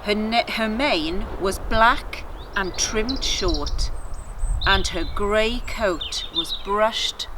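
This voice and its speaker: A woman's voice: mid-range voice, very slow. A woman reading children's stories outdoors in a garden, chatting informally and narrating expressively. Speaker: children's story reader. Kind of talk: friendly chat and expressive storybook narration. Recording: faint outdoor garden noise.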